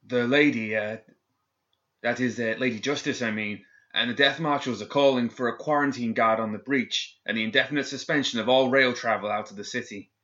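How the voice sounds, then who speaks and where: A man's voice: medium pitch, moderate pace, fairly bright tone, dull audio, voice pushed up, close to an everyday voice. A man voicing a nervous young male guard who stammers and hesitates as he reports.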